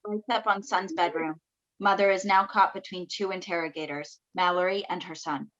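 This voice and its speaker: A woman's voice: on the low side, steady tone, moderate pace, fairly bright tone, highs cut off. A woman reading stage directions as scripted narration for a staged play reading over a video call.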